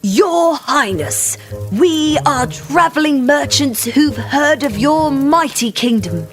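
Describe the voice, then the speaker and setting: A woman voicing a charming young brother in a fairy tale, eager, polite and sweetly flattering. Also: high-pitched, slow pace. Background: clear music.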